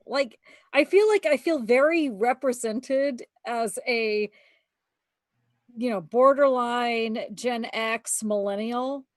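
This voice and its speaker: A woman's voice: a little high, no room sound, clean studio sound. A woman in her forties co-hosting a casual chat, tipsy from cocktails, blunt and profane with lots of laughter. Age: 40s. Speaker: podcast co-host.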